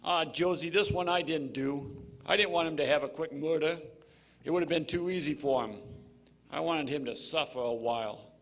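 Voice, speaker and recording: An actor playing a cool, cruel, wisecracking gangster in a 1940s-style radio drama. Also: male voice, a little high, normal speed, a bit bright. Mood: cool, menacing.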